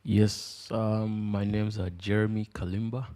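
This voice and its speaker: A young man from Rwanda talks as a guest in a relaxed interview, his voice on the low side. English is not his first language, and he mixes dry jokes with hesitant, filler-heavy pauses. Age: young adult. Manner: joking, hesitant, many fillers. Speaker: podcast guest. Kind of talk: casual interview chat.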